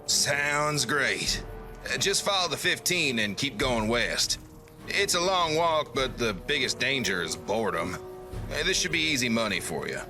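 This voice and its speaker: Male game NPC, amiable broke wanderer, average pitch, talkative, wry delivery over music. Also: big pitch swings, measured pace. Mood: friendly, businesslike. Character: amiable down-on-his-luck male traveler offering paid courier work. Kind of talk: video game dialogue acting.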